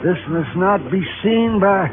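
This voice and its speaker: An actor playing a nervous, secretive old scientist, halting and repeating his words, in a 1950s radio drama. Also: sits high, music in the background. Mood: anxious, distracted. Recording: muffled 1950s radio recording.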